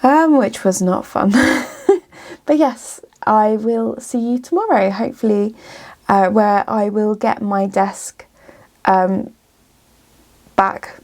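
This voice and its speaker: A chatty woman talking to camera from her home studio. Her voice has a slightly gravelly, creaky texture and sits at an ordinary middle pitch. She sounds a little bunged up and drifts along with easy ums and restarts. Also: slow pace, long pauses, darkish tone. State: slightly bunged up from a runny nose. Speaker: small business vlogger. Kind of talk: casual vlog chat to camera.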